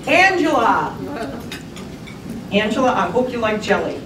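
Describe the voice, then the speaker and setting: A woman's voice: a little high, big pitch swings, a little slow, heavy background noise. A woman, a TV news reporter giving a chatty, self-deprecating trivia talk to an audience in a museum hall. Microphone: handheld microphone. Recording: echoey hall, mic at a distance.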